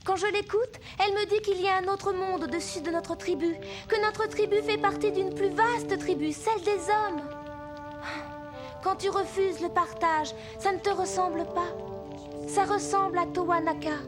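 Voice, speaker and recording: Casting: cartoon princess, very high girlish pitch, gentle and earnest, faint music behind. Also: steady tone, normal speed. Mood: earnest pleading. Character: young native princess, gentle, earnest chief's daughter. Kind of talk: French animated film dub.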